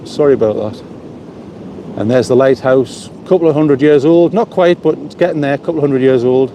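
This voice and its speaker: A man's voice: medium pitch, a little fast, mellow. Chatty man on a windy pier. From North East England. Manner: chatty, rambling, repeats words while thinking. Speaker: vlogger. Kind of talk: live-streamed walking vlog, chatting with viewers. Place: outdoors on a seaside pier. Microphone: small mic on a phone while walking.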